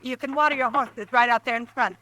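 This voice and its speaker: An actress playing a weary, guarded homesteader wife in an old radio drama, answering in short, curt lines. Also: female voice, a little high, a little fast, a little hiss or hum. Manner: curt, flat, guarded replies. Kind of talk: 1950s radio drama acting.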